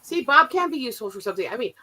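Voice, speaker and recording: A woman's voice: fairly high pitch, very animated, racing, pushed and bright. A woman co-hosting a casual livestream chat, blunt and profane, talking over a remote streaming mic. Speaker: stream co-host. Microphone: streaming mic, remote call.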